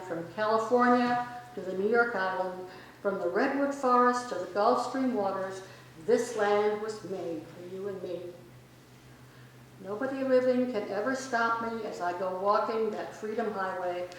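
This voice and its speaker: A woman reading to an audience at a library event, recorded in a large indoor gallery. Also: medium pitch. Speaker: reader at a library poetry event. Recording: very echoey gallery with background noise.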